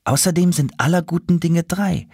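A man's voice: fairly high pitch, normal speed, vocal fry, a little mellow, breathy edge, no room sound, clean studio sound, pitched above the performer's own voice. A man voicing a timid, hopeful colorful bird who speaks politely and a little hesitantly.

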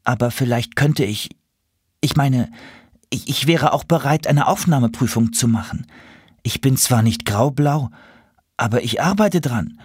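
A man's voice: mid-range voice, unhurried, a little mellow, slightly rough, no echo, pitched above the performer's own voice. A man voicing a timid, hopeful colorful bird who speaks politely and a little hesitantly.

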